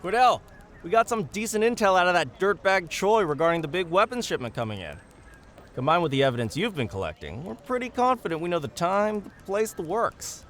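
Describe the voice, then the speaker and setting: An emphatic, animated police detective. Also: male voice, sits high, fairly quick. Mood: commanding. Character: brisk, commanding male police detective giving briefing. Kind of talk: video game cutscene dialogue.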